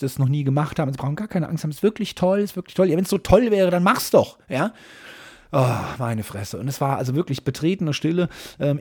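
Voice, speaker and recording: A man's voice: on the high side, darkish tone, husky. A man, middle-aged, hosting a podcast as a casual, wry monologue full of grumbling asides and tag questions to his listeners. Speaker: podcast host.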